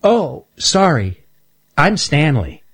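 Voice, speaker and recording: A timid young boy squirrel from a storybook who stumbles and repeats the start of his words, as a man puts him on. The voice sits high and thin, slow and halting, and slightly husky underneath. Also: very animated, fairly bright tone, exaggerated voice. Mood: shy. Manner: heavy stutter on first sounds. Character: shy young male squirrel who stutters.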